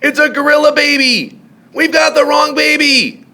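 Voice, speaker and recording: A man voicing a panicked, excitable parent in a children's storybook, yelling loudly in alarm. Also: very high voice, normal speed, voice pushed up, exaggerated voice. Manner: loud yelling. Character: panicked, excitable parents yelping at animal babies.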